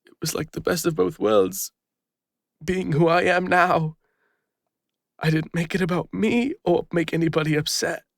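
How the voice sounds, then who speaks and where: A man plays a guilt-ridden fantasy adventurer with an English accent and a slightly high, gravelly voice. He speaks in a halting, confessional way, wistful and exposed. The sound is dry and close, like an intimate audio roleplay. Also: fairly even intonation, dry recording. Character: Killian, guilt-ridden retired male adventurer, vulnerable, lonely, wistful.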